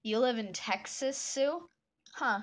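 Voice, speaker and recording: Woman, deep-ish voice, unhurried, soft-spoken livestream chat. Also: expressive, a little hiss or hum. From northern United States. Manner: soft-spoken, meandering, chatting with viewers. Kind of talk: casual chat while drawing on a livestream.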